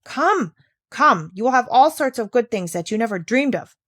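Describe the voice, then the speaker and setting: Storybook aunt, read by a woman: bossy, hurried, persuasive, impatient with children. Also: on the high side, lively intonation, a bit bright, dry recording, clean studio sound, pitched above the performer's own voice.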